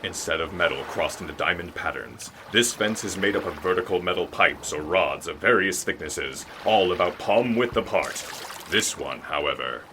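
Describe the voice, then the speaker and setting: An actor playing a genial, folksy narrator, delivering scripted radio drama narration in a theatrical way. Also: male voice, low-pitched, animated, moderate pace, noisy background. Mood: storytelling.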